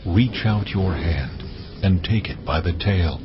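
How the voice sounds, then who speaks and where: An actor playing God as a solemn, commanding divine voice, proclaiming slowly and with weight. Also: male voice, low-pitched, animated. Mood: instructing. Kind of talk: dramatized scripture reading. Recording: muffled, over loud cinematic music.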